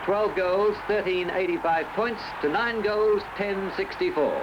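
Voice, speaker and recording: A man with an Australian accent narrating a scripted 1970s sports newsreel in formal, measured phrasing. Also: high voice. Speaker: newsreel commentator. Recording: dull, noisy old newsreel soundtrack.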